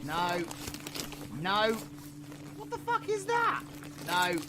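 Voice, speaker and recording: A man with a British accent playing a brooding, foul-mouthed dark-magic supervillain in an audio roleplay, intense and full of slang. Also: high voice, wildly swooping pitch, extremely slow, noisy background, heavily acted. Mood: frustrated, searching. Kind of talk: dramatic audio roleplay acting.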